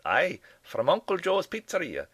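A man voicing an excitable old male Scottish professor with a put-on Scottish accent, exclaiming in a high, rolling voice. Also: normal speed, husky, dry recording, exaggerated voice. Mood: indignant.

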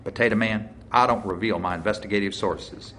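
A man voicing a smug, folksy small-town deputy who questions people in a forceful, accusing way for an audiobook. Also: lowish voice, brisk, a little hiss or hum, natural voice.

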